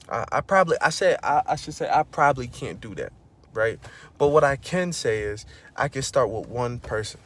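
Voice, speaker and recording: A man vlogging a casual motivational monologue, preachy and full of slang, often saying "bro" and "you know what I'm saying," getting loud and high when he is fired up.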